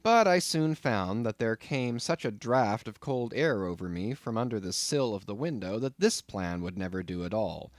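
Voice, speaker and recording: A man reading literary fiction as an expressive audiobook narrator. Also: lowish voice, normal speed, hardly any pauses, no echo, clean studio sound.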